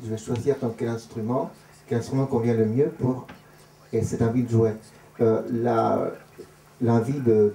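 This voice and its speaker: Quiet writer guy, super dark flat voice, keeps restarting, faint background hum. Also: mid-range voice. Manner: soft-spoken, halting, with many restarts. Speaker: writer interviewed. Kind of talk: reflective interview answers. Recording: roomy with faint ambience.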